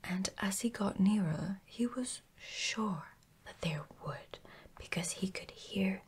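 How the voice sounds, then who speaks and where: Slow whispering woman storyteller. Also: mid-range voice, husky. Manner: hushed, gentle, unhurried. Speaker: storyteller, host of a children's ASMR channel. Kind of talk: whispered ASMR bedtime story reading.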